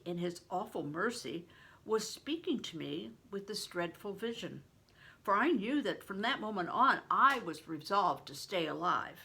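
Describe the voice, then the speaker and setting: An older woman reading a novel aloud in an expressive, deliberate storytelling manner, stressing words for drama. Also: mid-range voice, vocal fry, slightly rough. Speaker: reader, retired reading teacher. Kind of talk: expressive audiobook-style read-aloud of a novel.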